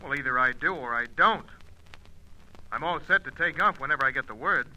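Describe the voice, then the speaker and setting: An actor playing a brash, impatient, wisecracking American pilot for hire with slangy talk, heard over a telephone in a radio serial. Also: male voice, medium pitch, animated, brisk, sharp tone. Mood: sardonic, suspicious. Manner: blunt, slangy tough-guy talk. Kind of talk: old-time radio adventure serial acting. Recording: dull, band-limited phone-call sound.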